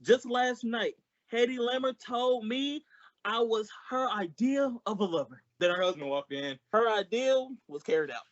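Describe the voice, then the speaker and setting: A performer playing a childlike, wisecracking, excitable male comedian sidekick in a 1940s-style radio comedy. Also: medium pitch, normal speed, no echo, lo-fi sound, lightly acted. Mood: boastful, joking. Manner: exclamatory, wisecracking.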